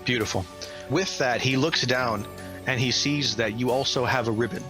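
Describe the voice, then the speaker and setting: A male game master tells a tabletop fantasy story in an enthusiastic, theatrical way. His voice sits at a medium pitch, with music clearly playing behind it. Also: normal speed. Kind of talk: dramatic tabletop game narration.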